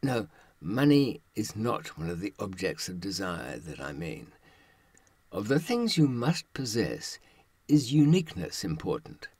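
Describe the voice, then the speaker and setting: A man in his seventies giving a reflective monologue, musing haltingly and posing rhetorical questions in a husky voice. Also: fairly low pitch, wildly swooping pitch, a little mellow, dry recording. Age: 70s. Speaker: presenter.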